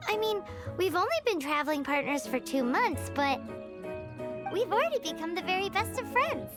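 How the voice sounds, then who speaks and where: A woman voicing a tiny, excitable, childlike female mascot companion in a video game, squeaky, blunt and chirpy. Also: moderate pace, music in the background. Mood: friendly. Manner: exclamatory, refers to self in third person.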